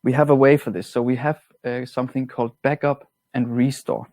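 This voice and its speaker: Man with a Danish accent, mellow and dry. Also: mid-range voice, fairly quick, no room sound. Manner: relaxed, plain-spoken, slightly non-native grammar. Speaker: product trainer. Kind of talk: webinar software walkthrough.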